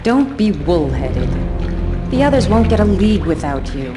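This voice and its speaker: An actress playing a young, dutiful and stubborn sorceress leader in a fantasy game, with a solemn, firm, formal delivery. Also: female voice, fairly low pitch, sing-song, moderate pace. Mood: firm, reassuring. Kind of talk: dramatic fantasy game cutscene acting. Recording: game music behind the voice.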